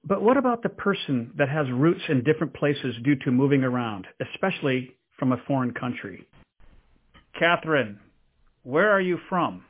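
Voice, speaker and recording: Husky man narrating over thin sound. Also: medium pitch, sing-song, moderate pace. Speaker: host. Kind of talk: scripted narration. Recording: tinny phone-like sound.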